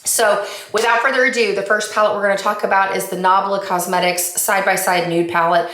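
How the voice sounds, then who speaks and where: A woman vlogger chatting about her makeup progress in an upbeat, enthusiastic, rambling way, her voice a little raspy from allergies. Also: on the low side, a bit bright. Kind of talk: casual chatty update. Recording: echoey room.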